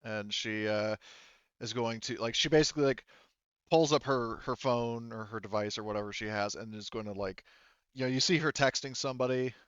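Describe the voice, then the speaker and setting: A man narrating a tabletop game as game master, conversational and halting, pausing to rephrase as he goes. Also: mid-range voice, a bit bright, clean tone, dry recording, dull audio.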